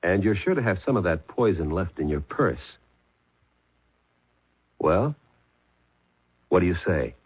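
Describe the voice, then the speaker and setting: An actor playing a shrewd, terse police sergeant in a 1970s radio mystery, matter-of-fact and methodical. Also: male voice, low voice, big pitch swings, unhurried, pauses often, slightly rough, heavily acted. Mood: cool triumph. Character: shrewd, hard-boiled male police sergeant. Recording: muffled lo-fi 1970s radio recording.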